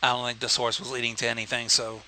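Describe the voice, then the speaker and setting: A man's voice: mid-range voice, monotone, fast, sharp tone, a little hiss or hum. A man co-hosting a story reading, chatting casually and tossing in short joking asides. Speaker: co-host commenting on the story.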